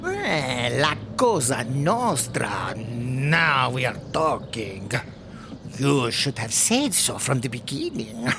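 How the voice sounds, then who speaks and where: A woman's voice: a bass voice, sing-song, takes it slowly, noisy background, full character voice. A woman playing a flamboyant, melodramatic alien with broken English in a sci-fi comedy audio drama. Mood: wry, teasing.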